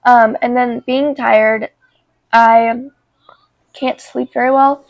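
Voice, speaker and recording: A young woman vlogging casually about her life, congested with a cold, talking in an informal, halting way. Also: on the high side, fairly even intonation, creaky, no echo, rough recording. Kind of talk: casual chat to camera.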